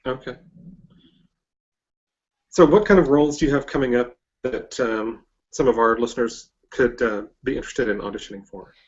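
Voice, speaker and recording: An interviewer with an androgynous voice, hosting a casual chat and asking questions haltingly. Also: medium pitch. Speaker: interviewer, host. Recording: dull, lo-fi internet call.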